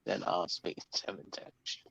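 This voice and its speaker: A man's voice: moderate pace, a bit bright, dull audio. A man from London with a London accent, hosting a casual livestream chat, talking in a chatty, hesitant way with many ums. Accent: London English. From London, England. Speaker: livestream host reading chat.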